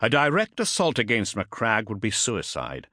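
A man voicing a stern, resolute warrior captain in an audiobook, speaking formally with measured, emphatic oratory. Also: fairly low pitch, wildly swooping pitch, pushed and bright, dry recording, studio quality, voice pushed up, exaggerated voice. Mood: grave, resolute.

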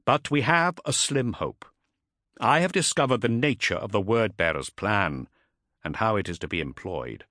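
A man voicing a stern, resolute warrior captain in an audiobook, speaking formally with measured, emphatic oratory. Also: fairly low pitch, expressive, fairly bright tone, dry recording, studio quality. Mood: grave, resolute.

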